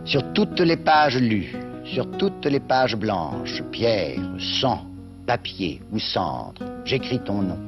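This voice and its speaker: A man reciting a poem in a slow, solemn, measured way. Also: lowish voice, very animated. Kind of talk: poetry recitation over music. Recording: loud music underneath.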